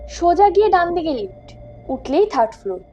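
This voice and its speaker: A woman's voice: extremely high pitch, very animated, a little fast, warm tone, muffled recording, faint music behind, voice pushed up. A woman voicing a male hospital security guard who sounds puzzled and questioning in a ghost story. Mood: plain, helpful. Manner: questioning, astonished.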